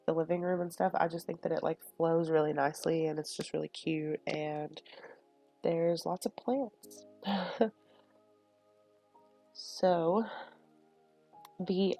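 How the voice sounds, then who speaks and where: A woman's voice: on the low side, creaky. A young woman with a sniffly, stuffed nose, chatting casually and rambling with lots of fillers while she shows a build. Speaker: Sims build video creator. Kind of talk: casual chat over a speed build. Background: soft lo-fi music.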